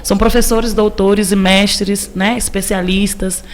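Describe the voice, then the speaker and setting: A woman in her thirties from Cape Verde talks in a casual podcast conversation. Her pitch sits in the middle for a woman, and she speaks informally, often repeating a phrase to stress it. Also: flat delivery, measured pace, slight background noise. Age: 30s. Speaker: podcast guest, civil engineering teacher. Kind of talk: casual interview chat.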